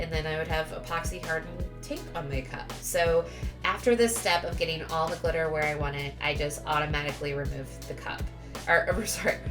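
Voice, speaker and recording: A woman giving a casual, chatty craft tutorial voice-over, sounding sniffly with a cold and often correcting herself. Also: low-pitched. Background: background music.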